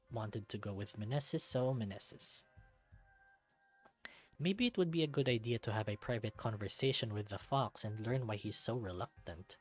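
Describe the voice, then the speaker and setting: A man reading a visual novel aloud as a let's-play, narrating expressively. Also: medium pitch. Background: game music.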